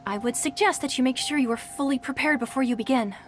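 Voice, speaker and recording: A woman's voice: sits high, fast talker, natural voice. An actress playing a polite female crew member who guides fighters to the arena, formal and reassuring. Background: game music.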